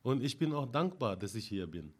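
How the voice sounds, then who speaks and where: Lively-voiced man, chatting. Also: mid-range voice, fairly quick. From Senegal. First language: not German. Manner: warm, repeats short affirmations, laughs often. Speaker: guest. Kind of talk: casual conversation.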